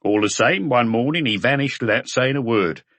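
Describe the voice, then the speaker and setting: A man's voice: medium pitch, expressive, normal speed, a bit bright, no echo. A man voicing a powerful, opinionated butcher in an audiobook, talking bluntly as a bar regular. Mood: scornful, knowing.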